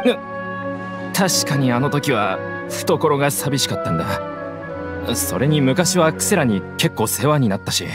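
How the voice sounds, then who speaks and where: Imagine a jumpy, shifty young smuggler who pleads and dodges the blame. His pitch swings widely from line to line. Loud game music plays under his voice. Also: big pitch swings, measured pace. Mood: nervous. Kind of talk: Japanese game dub.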